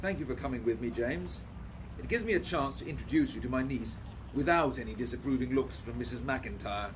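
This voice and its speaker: An actor playing a proper, protective Victorian gentleman professor in a radio play, speaking formally and earnestly. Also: mid-range voice, brisk, background noise, close to an everyday voice. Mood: reassuring. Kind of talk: steampunk radio play acting.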